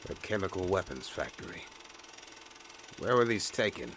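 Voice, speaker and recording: An actor playing an impatient, dismissive high-ranking government official in a radio drama, curt and condescending. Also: male voice, animated, moderate pace, fairly bright tone, slight background noise.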